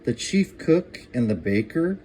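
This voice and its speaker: Older male storybook reader: expressive, sing-song, unhurried, lively intonation, slight background noise. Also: mid-range voice, poor audio. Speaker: grandfather reading aloud to a young child.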